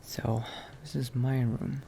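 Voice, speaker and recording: Male gamer, in-character roleplay, unhurried hesitant murmur, light noise behind. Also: medium pitch, level tone, creaky. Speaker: player roleplaying a vampire Dark Elf character. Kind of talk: in-character roleplay narration of a video game.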